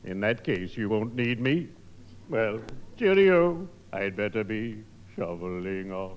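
An actor playing a solemn, courteous undertaker with a mournful, morbidly droll manner in a 1950s radio sitcom. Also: male voice, on the low side, very animated, slow pace. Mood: mournfully droll. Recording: muffled, noisy old radio broadcast.

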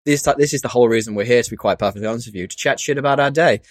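A man hosting a podcast, chatting in quick, jokey banter. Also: mid-range voice, dry recording, studio quality. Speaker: podcast host. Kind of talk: casual chat.

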